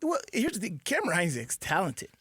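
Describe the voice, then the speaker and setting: Male radio co-host, ex-player, higher pitch, emphatic, dry mic. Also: wildly swooping pitch, a bit bright, slightly rough, dry recording. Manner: quick agreeing interjections, emphatic repeats. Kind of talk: casual sports talk.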